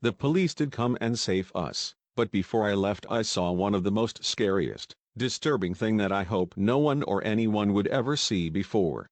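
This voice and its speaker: A man playing a shaken eyewitness survivor, giving scripted testimony in a deliberate, recited manner for a news interview. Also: fairly low pitch, lively intonation, no echo. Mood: grim, recounting.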